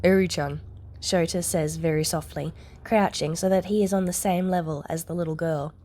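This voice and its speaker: A woman narrates a story in a slightly low voice. It is a scripted reading of fiction, told in the third person. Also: fairly even intonation, moderate pace, mellow. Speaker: podfic narrator.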